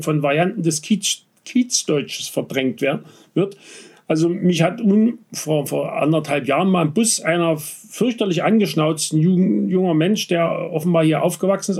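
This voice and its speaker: A man from Saxony with a slight Saxon accent, talking in a casual conversation, halting and full of restarts and fillers. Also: on the high side, gravelly, a little mellow, breathy edge. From Saxony, Vogtland. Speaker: interviewee.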